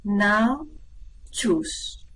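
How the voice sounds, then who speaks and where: A woman playing a callous, imperious alien spaceship captain in an amateur radio play, speaking deliberately. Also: medium pitch, lively intonation, fairly bright tone. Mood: menacing, deliberate. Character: menacing, callous alien spaceship captain who writes poetry. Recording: noisy with background ambience.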